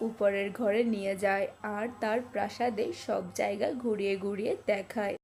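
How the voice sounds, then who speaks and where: A woman's voice: mid-range voice, flat delivery, moderate pace, gravelly, darkish tone. A woman reading scripted fairy tale narration as the storyteller.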